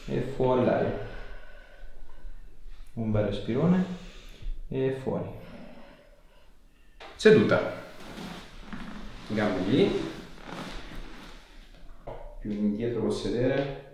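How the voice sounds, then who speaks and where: A man's voice: medium pitch, a little mellow. A man in his thirties working as a chiropractor, giving a patient short, hands-on instructions. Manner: short directive phrases. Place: small indoor treatment room. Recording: echoey room with faint noise.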